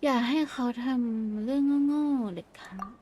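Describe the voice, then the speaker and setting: High-pitched woman streaming a game. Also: racing, warm tone, a little hiss or hum. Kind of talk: casual playthrough commentary.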